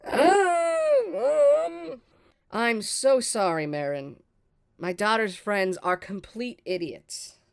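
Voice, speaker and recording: A woman's voice: on the high side, sing-song, very slow, creaky, exaggerated voice. A woman playing a strict, exasperated, protective barmaid mother in a pirate story table read, scolding and emphatic. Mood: flustered apology.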